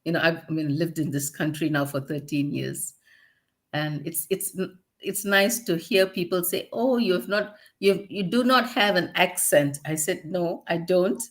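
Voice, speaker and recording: A woman's voice: on the low side, normal speed. A woman from Malaysia with a Malaysian English accent, chatting as a podcast guest, laughing often and telling stories. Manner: laughs often, lively storytelling. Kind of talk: casual chat.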